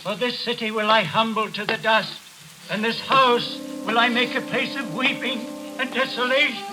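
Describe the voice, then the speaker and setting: A man's voice: very high-pitched, fairly even intonation, moderate pace, over loud music. An actor playing a fanatical, ominous old handyman given to biblical doom in a 1940s radio suspense drama. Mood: prophetic doom. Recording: noisy, hissy 1940s radio broadcast.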